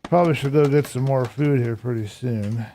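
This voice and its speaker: A hoarse man chatting casually with viewers on a live stream while playing a game, answering chat aloud in a relaxed, reflective way. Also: mid-range voice, normal speed, slight background noise.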